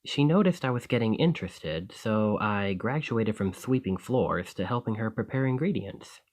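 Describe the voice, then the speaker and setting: A man's voice: lowish voice, animated, moderate pace, a little mellow. A man playing a friendly, earnest, easily flustered alchemist in an ASMR roleplay, talking conversationally to the listener. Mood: fond reminiscing. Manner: conversational, enthusiastic, hesitant when embarrassed.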